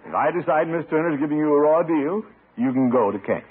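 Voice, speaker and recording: Blustery uncle, middle-aged, soft-hearted, raspy, very lively intonation, vintage radio sitcom. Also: male voice, a little high, a little fast. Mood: indulgent. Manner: pompous, theatrical, chuckling. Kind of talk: 1940s radio comedy acting. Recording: tinny old radio broadcast.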